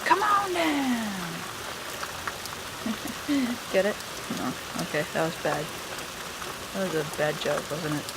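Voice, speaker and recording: A woman's voice: mid-range voice, slow, pauses often. A woman chatting casually and muttering informal reactions while filming an amateur video. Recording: noisy home recording with rain-like hiss.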